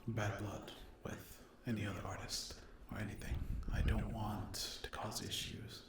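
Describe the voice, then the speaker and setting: Low soft asmr guy, kinda halting, half whispering, echoey room w loud noise. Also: level tone. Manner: hushed, often slipping into whisper. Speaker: ASMR creator addressing viewers. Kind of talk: soft-spoken ASMR update.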